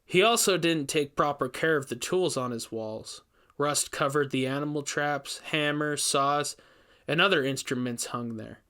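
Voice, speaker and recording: A man playing an investigator who recounts a frightening ordeal in measured, emphatic, scripted first-person narration. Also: a little high, pushed and bright, clear, resonant tone. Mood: grim, suspenseful. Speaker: narrator reading a story.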